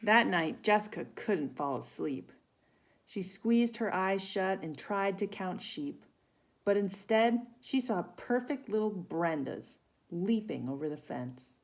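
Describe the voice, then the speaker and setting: A woman reading a children's story aloud expressively, pausing to chat with young listeners. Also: medium pitch, lively intonation, gravelly. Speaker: volunteer storybook reader for children.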